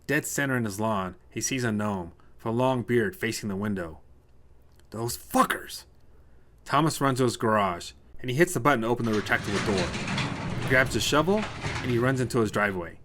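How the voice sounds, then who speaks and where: A man's voice: mid-range voice, a bit bright. A man reading a scripted short story as narrator, told in the present tense.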